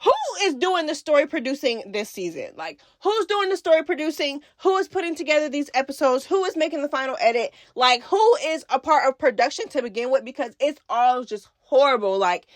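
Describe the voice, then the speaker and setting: Sassy high-pitched woman vlogger. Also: lively intonation, fairly quick, hardly any pauses, no echo, clean studio sound. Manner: sassy, slangy, emphatic repetition. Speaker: YouTube reality TV recap host. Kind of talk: casual opinionated commentary.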